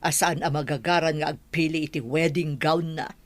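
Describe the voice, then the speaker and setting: A woman's voice: deep voice, fairly even intonation, normal speed, no echo, natural voice. A woman playing a bossy middle-aged mother in a radio drama, speaking in an insistent, admonishing way. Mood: firm, scolding. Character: bossy middle-aged mother pressing her reluctant daughter. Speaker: actor in audio drama. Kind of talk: Ilocano radio comedy-drama acting.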